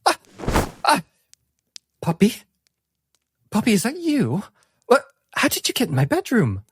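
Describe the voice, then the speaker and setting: A man's voice: high voice, wildly swooping pitch, unhurried, husky, no echo, studio quality, pitched above the performer's own voice, full character voice. A man voicing a flustered, kindly madame who runs a bordello, stammering and fussing with endearments in a tabletop game. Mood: startled.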